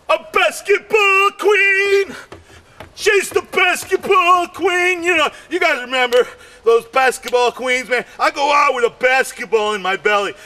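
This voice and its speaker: A man doing a rambling stand-up comedy act, shouting his punchlines and losing his voice. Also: very high voice. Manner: rambling, shouts punchlines, keeps saying "man" and "you know".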